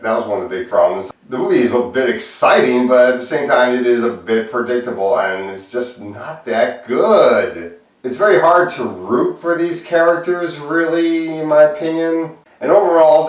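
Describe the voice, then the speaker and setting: A man giving a casual, blunt spoken movie review as an amateur reviewer, circling back over his opinions. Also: medium pitch. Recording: very echoey room, distant microphone.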